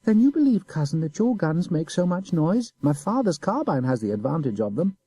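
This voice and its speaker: A man's voice: fairly high pitch, big pitch swings, a little fast, warm tone, slightly rough, no room sound, pitched above the performer's own voice, heavily acted. A man voicing a sly young boy who mocks the soldiers with a pert, sneering manner. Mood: sly mocking. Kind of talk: audiobook character voice.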